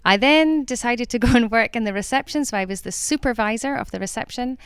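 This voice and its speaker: A woman's voice: on the high side, a little fast, clean tone, no echo, studio quality. A Scottish woman with a slight Italian tinge to her accent, chatting cheerfully in an interview about her cruise line career. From Scotland. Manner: cheerful, laughs easily. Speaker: cruise line club ambassador. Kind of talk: casual interview chat.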